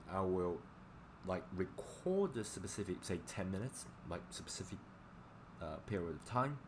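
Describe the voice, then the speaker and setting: A man who is a native Chinese speaker chats casually on a livestream as a language teacher, speaking in a halting, repetitive way over noisy audio. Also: low-pitched. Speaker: language teacher live-streamer.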